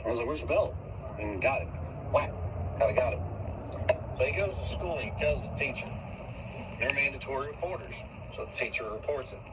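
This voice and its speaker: A man being interviewed, telling anecdotes casually in a folksy, halting way with repeated words. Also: medium pitch. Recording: noisy played-back online interview clip.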